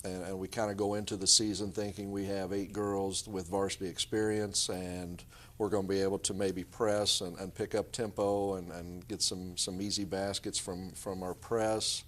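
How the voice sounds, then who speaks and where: A middle-aged man with a lowish voice speaks as a coach being interviewed. He gives explanatory, conversational answers in the manner of a guest on a sports show. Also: flat delivery, moderate pace, fairly bright tone.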